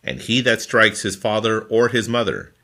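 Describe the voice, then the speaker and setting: A man reading scripture from a formal translation in a deliberate, phrase-by-phrase manner. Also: fairly low pitch, lively intonation, sharp tone. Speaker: scripture reader.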